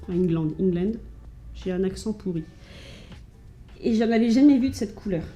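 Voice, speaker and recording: A woman's voice: medium pitch, lively intonation, normal speed, dark tone, faint music behind. A woman from France chatting casually to camera at home, showing her thrift finds with many fillers and restarts. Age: adult with children.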